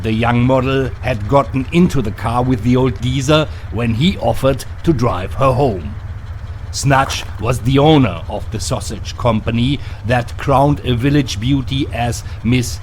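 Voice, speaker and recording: A man reading dramatic scripted narration for a motion comic in a portentous, measured way. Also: mid-range voice, a little hiss or hum. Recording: clear music behind the voice. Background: music.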